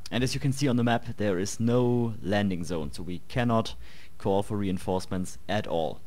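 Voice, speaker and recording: A young man with a slightly hoarse voice giving relaxed, chatty commentary over gameplay. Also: fairly low pitch, moderate pace. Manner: relaxed, chatty, a bit rambling. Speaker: let's play host.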